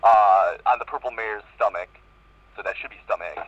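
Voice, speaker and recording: A man with a lowish voice reads a story aloud informally, adding his own asides and corrections as he goes. He sounds dull and boxed-in on a lo-fi recording. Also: moderate pace. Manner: interjects corrections and asides while reading. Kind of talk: amateur group reading of fan fiction. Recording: muffled lo-fi voice-chat audio.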